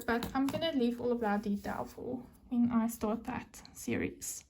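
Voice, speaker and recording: A woman's voice: fairly high pitch, a little mellow, a little hiss or hum. A woman from South Africa with a South African accent, a native Afrikaans speaker, chatting casually while she works on a craft and often dropping to a whisper as she counts. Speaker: crafting vlogger.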